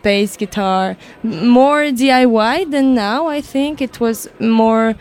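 A woman with a French accent and a slightly high voice answers radio interview questions in English. She pauses to search for words and often laughs softly. Her first language is French. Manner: hesitant, giggly, searching for words. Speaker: musician guest.

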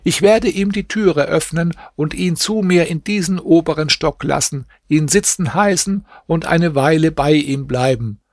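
Male narrator as a soft-spoken young lady, high and unhurried. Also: dry recording, clean studio sound. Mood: gentle. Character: sheltered young woman, proud but tender. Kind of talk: audiobook reading.

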